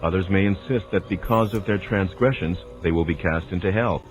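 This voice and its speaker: A man narrates a scripted, dramatized spiritual teaching in a formal, measured, didactic way. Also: deep voice, lo-fi sound. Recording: voice over prominent music. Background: music.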